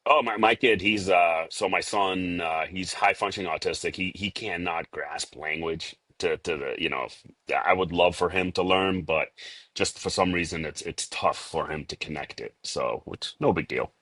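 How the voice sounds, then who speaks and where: Interview guest: man, forties, from Liberia, Boston accent, very low voice, dry close sound, halting with fillers. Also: bright, forceful tone, no echo. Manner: halting, many fillers and restarts. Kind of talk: casual interview talk.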